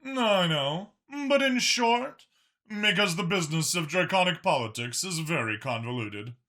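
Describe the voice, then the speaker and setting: A man voicing a powerful, patient dragon senator who explains things in a measured, self-assured way. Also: on the high side, animated, bright, forceful tone, pitched above the performer's own voice.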